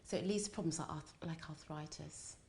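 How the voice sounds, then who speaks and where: Low-voiced woman explaining as an expert. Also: brisk, vocal fry, darkish tone, slight background noise. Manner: checks in with "okay", emphatic repetition. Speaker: nutrition expert, interview guest. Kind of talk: explanatory interview talk.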